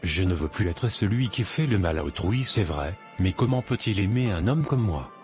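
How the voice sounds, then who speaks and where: Un homme interprète un malfrat de dessin animé chrétien à la voix très grave et pesante. Il parle vite et nerveusement quand il se sent pris au piège. Une musique nette joue derrière sa voix. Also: male voice, sits low, lively intonation, normal speed, darkish tone. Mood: remorseful. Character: adult male small-time criminal, cornered and panicky, later repentant. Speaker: cartoon character. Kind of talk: animated drama dubbing. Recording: music playing behind the voice.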